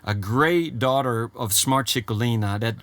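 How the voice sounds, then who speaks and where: A man's voice: mid-range voice, unhurried. A man in his fifties from Sweden with a Swedish accent, a ranch owner answering interview questions in a casual, chatty way, often repeating himself. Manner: warm, repeats phrases, many fillers. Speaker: horse trainer and ranch owner.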